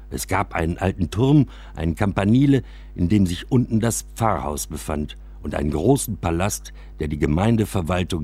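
A man reading a first-person literary narrative in an expressive storytelling manner. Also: fairly low pitch, slightly rough. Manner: expressive, acts out quoted dialogue. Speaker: narrator and reader of a memoir.

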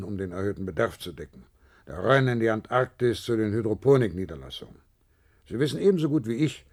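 Patronizing office boss, animated delivery. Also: male voice, mid-range voice. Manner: hesitant hemming, patronizing, pointed. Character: patronizing male office boss, skeptical and probing. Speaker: radio drama actor. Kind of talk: German science-fiction radio play acting.

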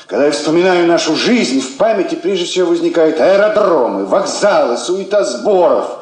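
An actor playing a middle-aged military husband, weary, lonely and wistful, in a theatrical radio drama. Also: male voice, on the high side, expressive, moderate pace, a little mellow, echoey room, close to an everyday voice.

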